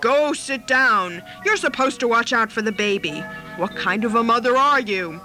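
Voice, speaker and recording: A woman voicing a boastful, imperious young girl, loud and sneering, in a told story. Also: on the high side, expressive, normal speed, faint music behind, rough recording. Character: bossy, boastful young girl playing Joseph in pageant.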